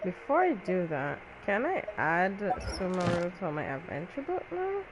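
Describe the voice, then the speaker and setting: A woman's voice: lowish voice, wildly swooping pitch, normal speed, lo-fi sound, noisy background. A woman making casual gameplay commentary, informal and reactive, often talking to herself. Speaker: gaming video creator.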